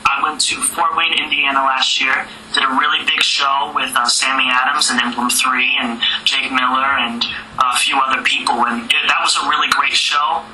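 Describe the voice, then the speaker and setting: Man from Georgia, higher-pitched voice, laid-back rapper chatting, roomy echo, noisy far-off line. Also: little pitch movement, normal speed, pushed and bright. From Georgia, USA. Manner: laid-back, chatty, with small laughs and fillers. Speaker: rapper, interview guest. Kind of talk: casual interview answers.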